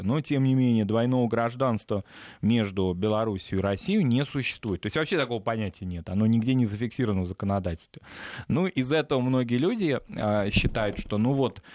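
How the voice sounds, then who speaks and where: A man's voice: on the low side, a little mellow, no room sound, studio quality. A man from Belarus, a talk show guest, explaining at length in a discursive way with frequent fillers.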